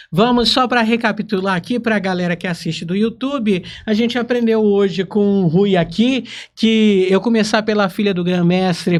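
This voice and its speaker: A man with a Brazilian accent hosting a podcast in a casual, chatty way. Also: high-pitched. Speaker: podcast host.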